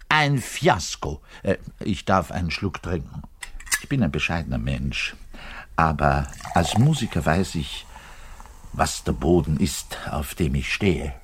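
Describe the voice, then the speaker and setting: An actor playing a garrulous, self-important double bass player in his thirties, delivering a digressive radio play monologue straight to the listener with halting self-corrections. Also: husky, heavily acted. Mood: emphatic, lecturing. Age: 30s. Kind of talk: conversational theatrical monologue addressed to the listener.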